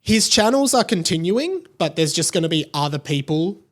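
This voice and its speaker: Guy doing a solo podcast, kinda high voice, chatty, thinks out loud and leans on words. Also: big pitch swings, normal speed. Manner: conversational, thinking aloud, emphatic repetition. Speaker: podcast host. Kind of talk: casual solo podcast commentary.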